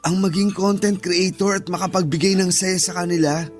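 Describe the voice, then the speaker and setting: An actor with a Filipino accent playing an earnest, placating young boyfriend in a radio drama. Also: male voice, high-pitched, steady tone, normal speed, close to an everyday voice. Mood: defensive, placating. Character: defensive, eager-to-please young boyfriend, a content creator. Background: music.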